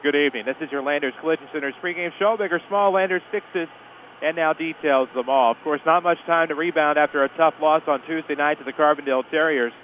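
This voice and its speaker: Male local radio sportscaster, higher-pitched and quick, live from an arena on a thin phone-like feed. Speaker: play-by-play sports announcer. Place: indoor school sports arena. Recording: phone-like line, steady venue noise.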